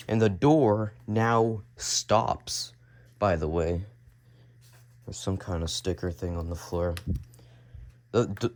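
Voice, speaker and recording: Raspy deep-voiced young man, sick. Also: a little hiss or hum. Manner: rambling, repeats and restarts as he shows things. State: sick, coughing. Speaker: vlogger. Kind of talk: casual home vlog tour. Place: home basement, indoors.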